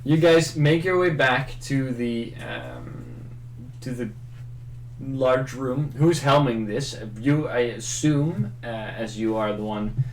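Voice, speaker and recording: A man's voice: mid-range voice, takes it slowly, a little hiss or hum. A man narrating a tabletop roleplaying game as game master, in an echoing room. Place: indoor room, group game livestream. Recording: roomy, echoing room sound.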